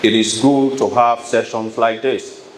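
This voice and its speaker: Slow, deliberate Sierra Leonean man. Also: mid-range voice, fairly even intonation, a little hiss or hum, rough recording. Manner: deliberate, formal. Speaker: keynote speaker. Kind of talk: measured public address.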